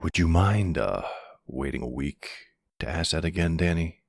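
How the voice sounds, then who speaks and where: A man playing a middle-aged silver fox mafia boss, smitten and commanding, speaking tenderly and gently in an intimate ASMR roleplay. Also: no echo, clean studio sound. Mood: grave, reassuring. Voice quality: low, gravelly. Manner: slow, tender, measured.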